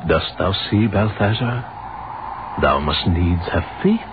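An actor playing a courteous, devout French army captain with a French accent, speaking formally in a 1930s radio drama. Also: male voice, deep voice, expressive. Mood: solemn, exhorting. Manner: formal, slow, solemn. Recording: muffled, noisy 1930s radio recording.

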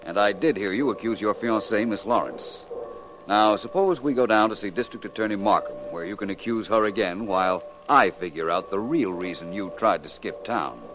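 A man acting as a gentleman sleuth in a vintage radio mystery. His voice is deep, and he speaks in an urbane, measured, precise way, never flustered. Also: music in the background, close to an everyday voice, low-quality recording. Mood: cool, polite. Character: suave, unflappable gentleman detective. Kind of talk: 1940s radio detective drama acting.

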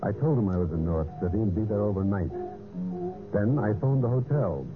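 An actor playing a cold, scheming husband in a 1950s radio mystery, with a smooth, calculating manner. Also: male voice, on the low side, lively intonation, a little fast, close to an everyday voice. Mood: coolly scheming. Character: scheming, cold husband narrating his own murderous plot. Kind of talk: 1950s radio mystery acting and first-person narration. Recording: muffled old radio broadcast with music.

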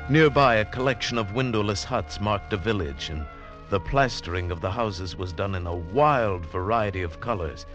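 An actor playing a mountaineer who recounts his ordeal in dramatic, deliberate phrases, in the style of mid-century radio drama. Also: lowish voice, lively intonation, a bit bright. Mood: hushed wonder. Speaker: narrator and lead actor. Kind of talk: 1940s-50s radio drama narration. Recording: vintage radio recording with music behind.

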